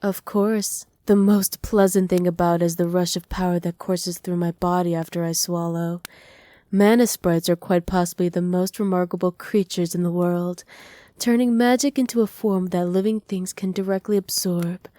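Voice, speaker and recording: Woman acting as a possessive evil witch, doting and teasing, mellow soft voice, whispery ASMR roleplay, close and dry. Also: lowish voice, steady tone, moderate pace, no room sound, close to an everyday voice. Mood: languid, pleased. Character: doting, possessive evil witch pampering her captive pet. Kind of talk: ASMR roleplay, intimate monologue to listener. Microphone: close microphone, dry.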